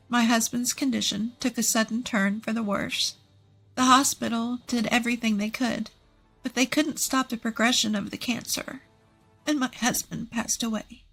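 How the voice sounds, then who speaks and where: A woman's voice: a little high, a bit bright. A woman reading a scripted, dramatic first-person story as its narrator.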